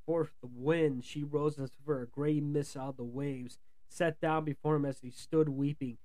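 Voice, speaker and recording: A man with a slightly high voice narrates an old epic tale aloud. He reads in a storytelling style and occasionally trips over words and names. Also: steady tone, normal speed, warm tone, no echo, rough recording. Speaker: podcast host reading aloud. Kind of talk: dramatic audiobook-style narration of an epic poem.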